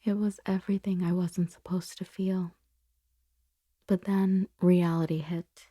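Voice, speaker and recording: A woman playing a conflicted boss confessing forbidden desire in an intimate, breathy, whispered ASMR roleplay monologue. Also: fairly low pitch, level tone, warm tone, no room sound, clean studio sound. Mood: torn, anguished. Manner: slow, intimate, halting delivery.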